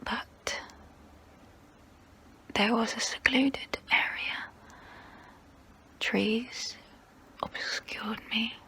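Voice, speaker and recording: An ancient vampire woman acted by a woman confides in a close, breathy whisper for an ASMR role play. She speaks very slowly, with deliberate pauses and a creaky, bright edge. Her manner is intimate and seductive, proud and wistful. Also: a little high, fairly even intonation, full character voice.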